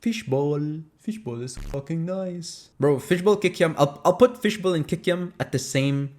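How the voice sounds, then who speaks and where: A man's voice: on the high side, measured pace, a little mellow. A man with Tagalog as his first language, chatting casually and candidly as an audio creator answering fan questions, playful and free with swearing. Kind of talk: casual Q&A chat.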